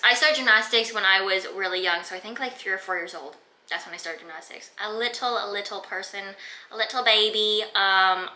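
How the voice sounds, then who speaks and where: A woman's voice: medium pitch, bright, forceful tone, reverberant. A woman of twenty-five from Canada, chatting casually through a fitness Q and A with lots of filler words. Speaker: fitness vlogger. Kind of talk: casual Q and A chat. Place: her room.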